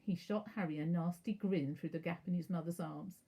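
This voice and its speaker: Woman reading a kids book out loud, soft dark husky voice, expressive teacher style. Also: lowish voice, normal speed. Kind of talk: children's storybook narration.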